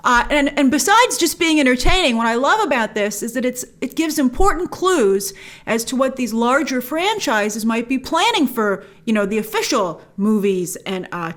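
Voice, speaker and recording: A woman's voice: high voice, sing-song, moderate pace. A woman hosting a review show, giving opinionated commentary straight to viewers and stressing key words emphatically. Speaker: host of a movie review show.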